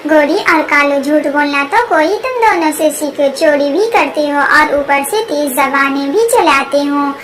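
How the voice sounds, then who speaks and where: A woman's voice: high-pitched, unhurried, hardly any pauses. A woman voicing a hardworking cartoon mother bird, caring but feisty. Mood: angry.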